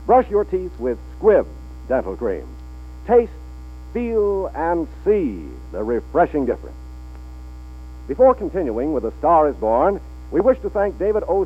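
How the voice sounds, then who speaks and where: Male announcer, 1940s radio ad read, polished, emphatic, lively pitch swings, narrow-band old broadcast.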